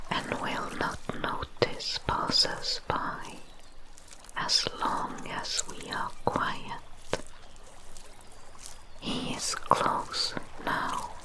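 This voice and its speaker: Woman whispering as a very slow, tiny will-o'-the-wisp. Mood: hushed, wary. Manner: hushed, careful, deliberate phrasing, soothing guidance. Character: tiny female will-o'-the-wisp, gentle cautious swamp guide. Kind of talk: fully whispered ASMR roleplay.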